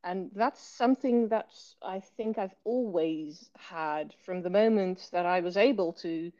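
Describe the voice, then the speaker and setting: A Dutch woman in her thirties with a learned British accent, a low-energy author speaking hesitantly with many fillers from a hospital bed. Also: a little slow, dull audio. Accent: Dutch speaker with learned British English accent. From Netherlands. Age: 30s. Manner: soft-spoken, hesitant with many fillers, occasional laughs. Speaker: author and illustrator, guest. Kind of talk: casual remote interview.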